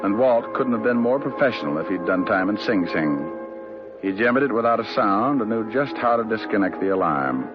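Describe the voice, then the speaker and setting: A man with a low voice narrating a tough detective story in a wry, hard-boiled way. The old broadcast sounds muffled, with music playing underneath. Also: lively intonation, normal speed. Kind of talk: 1950s radio drama narration.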